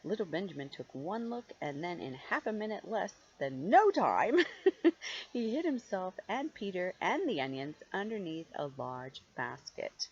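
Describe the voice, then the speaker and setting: Older female storyteller, grandmotherly read-aloud with chatty asides, low pitch, lively swooping intonation, drawn-out emphasis. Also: highs cut off, a little hiss or hum. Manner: expressive, playful, drawn-out emphasis on key words. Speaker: grandmother reading stories to children.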